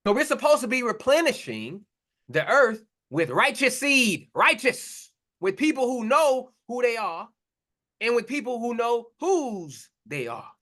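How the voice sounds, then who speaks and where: A man's voice: very high voice, sing-song, measured pace, sharp tone. A man preaching a confrontational sermon, blunt and mocking, in slangy talk to his listeners.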